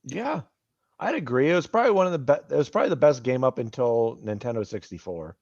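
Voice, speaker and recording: A man's voice: mid-range voice, animated, fast talker, vocal fry, darkish tone, dry recording. A man co-hosting a casual podcast, playful and given to silly bits while chatting about old wrestling. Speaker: podcast co-host. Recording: dull, lo-fi mic.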